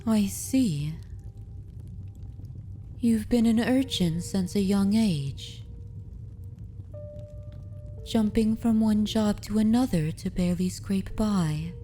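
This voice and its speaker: A woman playing an aristocratic vampire mistress in an ASMR roleplay, predatory yet consoling, speaking formally and deliberately in a soothing way. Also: medium pitch, warm tone, faint music behind, close to an everyday voice. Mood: gentle, sympathetic. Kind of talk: ASMR roleplay, scripted dramatic monologue.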